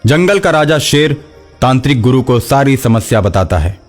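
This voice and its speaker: A man's voice: medium pitch, very animated, moderate pace. A man narrating a scripted children's story, with music behind him.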